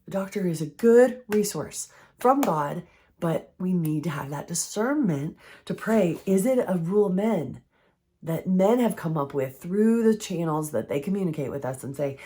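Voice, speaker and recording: A woman with a froggy, hoarse voice from recent illness, giving an earnest, conversational faith-based health teaching. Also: lowish voice, expressive, normal speed, warm tone. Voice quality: froggy, strained voice.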